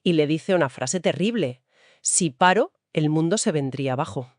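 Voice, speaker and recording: A woman's voice: low-pitched, animated, moderate pace, a little mellow, no echo. Woman discussing books, dry close sound. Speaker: co-host of a book discussion. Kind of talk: conversational literary commentary.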